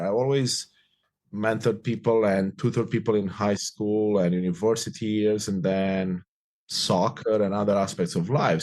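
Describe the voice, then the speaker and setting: A man's voice: fairly low pitch, darkish tone. A man in his forties, from Romania with Romanian as his first language, talking upbeat and reflective as an interview guest, often repeating phrases. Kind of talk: casual interview conversation.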